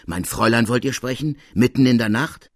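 A man's voice: medium pitch, fairly quick, voice pushed up, close to an everyday voice. A man voicing an indignant, protective female chambermaid in an emphatic, scolding literary reading. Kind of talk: literary audiobook character reading.

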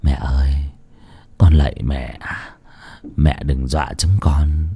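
A man voicing a frightened middle-aged father who mutters in a low, hushed, half-whispered voice. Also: normal speed, breathy edge, heavily acted. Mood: fearful muttering.

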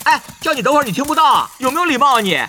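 A man's voice: very high voice, lively intonation, racing, pushed and bright, slight background noise, exaggerated voice. An actor playing a cocky, hyper-talkative young swordsman gamer in an audio drama, chattering with repeats and exclamations. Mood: taunting chatter.

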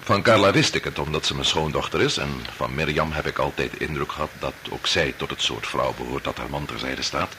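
An actor playing an authoritative older doctor and father, measured and formal, with a Dutch accent in a radio drama. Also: extremely low pitch, sharp tone. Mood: formal, resolute. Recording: noisy old radio drama recording.

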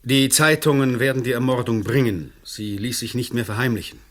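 A middle-aged examining magistrate in a radio drama, played by a male actor, speaks at a medium pitch. He is formal and uneasy, choosing measured, official phrases. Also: close to an everyday voice. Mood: guarded. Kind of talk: German radio play acting.

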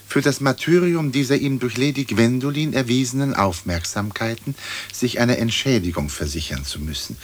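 An actor playing a dead aristocratic lord in a radio play, speaking formally in measured, old-fashioned, dry phrases. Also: male voice, medium pitch, a little hiss or hum, close to an everyday voice. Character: aristocratic dead English lord, dignified, dry, long-winded.

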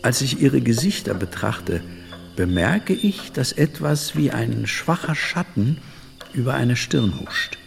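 A man's voice: mid-range voice, animated, creaky, big-room sound, faint music behind, exaggerated voice. An actor playing an older, reflective adventurer and butterfly collector in a radio drama, musing and telling his tale dramatically. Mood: suspenseful. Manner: musing, dramatic storytelling with pauses and interjections. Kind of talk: radio drama monologue, storytelling.

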